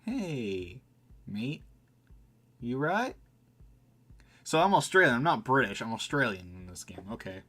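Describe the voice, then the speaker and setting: A man's voice: mid-range voice, sing-song, unhurried, long pauses, fairly bright tone, slightly rough. A man doing casual live let's play commentary, chatting informally and reading game text aloud as he reacts. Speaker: game streamer.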